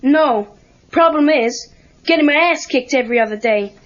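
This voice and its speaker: An actor playing an eager, wisecracking American teenage boy in film dialogue, with an animated, sarcastic way of talking. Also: very high voice, normal speed. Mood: frustrated, sarcastic. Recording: dull lo-fi cassette tape.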